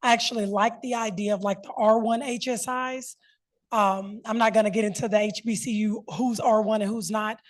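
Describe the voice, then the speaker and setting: A woman's voice: a little high, fairly even intonation, normal speed. A woman speaking as an academic panelist, giving an unscripted, conversational and emphatic answer.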